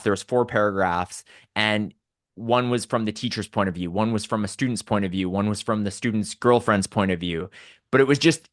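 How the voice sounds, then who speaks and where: A man's voice: on the low side, a bit bright, no room sound, clean studio sound. A man from Southern Alberta with a Canadian accent, telling personal stories conversationally and articulately as a podcast guest.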